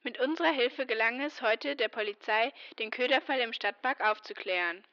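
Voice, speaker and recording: A woman's voice: on the high side, moderate pace, sharp tone, dry recording, dull audio. A young female actor playing a keen radio intern reading news in an amateur radio play. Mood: brisk, newsy.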